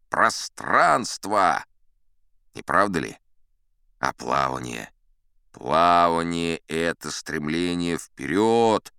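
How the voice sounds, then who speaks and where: A man's voice: deep voice, vocal fry, bright, forceful tone, smooth, no echo, studio quality, exaggerated voice. An actor playing a boastful old sea captain who spins yarns in a pompous, chatty, theatrical storytelling manner, savouring his words. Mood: grandly rhapsodic. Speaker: audiobook actor narrating in character. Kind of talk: theatrical storytelling in an audio play.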